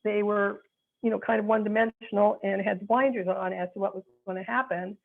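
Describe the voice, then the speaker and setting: Gravelly woman on a tinny line. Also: medium pitch, steady tone, fairly quick. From North Chicago, USA. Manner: earnest, searching for words, frank. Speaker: attorney. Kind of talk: reflective advice talk.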